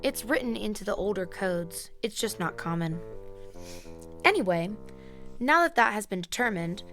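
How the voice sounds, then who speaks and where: Fantasy court bailiff, played by a woman: formal, explanatory, medium pitch, faint music underneath. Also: expressive, moderate pace, bright, forceful tone. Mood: businesslike. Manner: official, explanatory. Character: formal, matter-of-fact female court bailiff.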